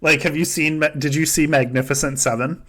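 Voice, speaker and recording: A man's voice: fairly high pitch, fairly even intonation, brisk, a bit bright. A man hosting a casual podcast game, chatting and joking with frequent swearing and laughter. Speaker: podcast host. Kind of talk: casual chat running a simulated reality-show game.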